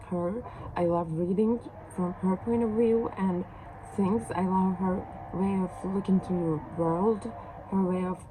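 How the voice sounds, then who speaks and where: A young woman from Turkey with a Turkish accent, chatting hesitantly to camera as a book vlogger, with many fillers and restarts. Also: on the low side. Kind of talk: casual chat to camera. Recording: noisy background.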